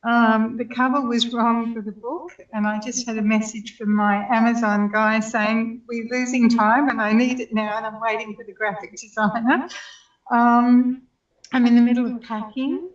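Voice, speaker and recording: A woman's voice: fairly high pitch, fairly even intonation, normal speed. An older woman co-hosting, talking in a hesitant, wry way with many ums. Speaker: co-host. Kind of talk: casual chat. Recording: distant, roomy, dull call line.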